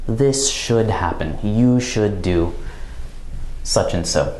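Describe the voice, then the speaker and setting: A man giving a recorded language grammar lesson, explaining slowly and carefully with example phrases. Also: fairly low pitch, darkish tone, echoey room, a little hiss or hum, poor audio. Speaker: language teacher.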